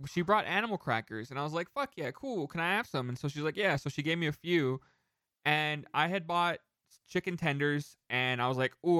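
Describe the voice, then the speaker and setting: A man co-hosting a casual podcast, telling rambling, animated anecdotes with some casual swearing. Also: a little high, no echo, clean studio sound. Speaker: podcast co-host.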